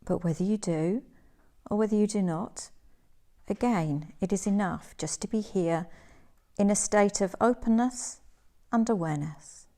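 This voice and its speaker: Woman, yoga nidra teacher, unhurried pace, soft mellow tone, soothing guided meditation, gentle pauses. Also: mid-range voice.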